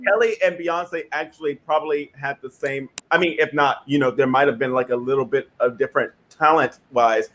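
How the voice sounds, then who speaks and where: A man talking haltingly, with giggly asides, as a panelist in a casual group chat on a video call. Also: mid-range voice, fairly even intonation, fairly bright tone.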